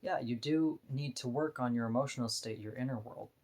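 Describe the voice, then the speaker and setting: A woman with a deep voice, talking bluntly and frankly in a candid personal vlog monologue, laughing now and then between points. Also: fairly quick, darkish tone. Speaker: vlogger.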